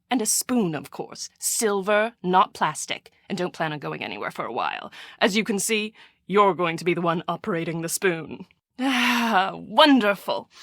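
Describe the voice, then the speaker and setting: A woman's voice: medium pitch, a bit bright, dry recording, clean studio sound, heavily acted. An actress in a video game playing a pampered, imperious rich woman who dotes on her little dog, speaking theatrically and giving orders. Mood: haughty, commanding. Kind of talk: scripted video game voice acting.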